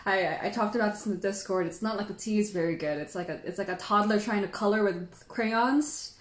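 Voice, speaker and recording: The recording is noticeably echoey, with the room audible around her voice. A woman of average pitch hosts a casual livestream. She talks informally and sentimentally, in a chatty, giggly manner. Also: fairly quick. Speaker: livestream host and tea teacher.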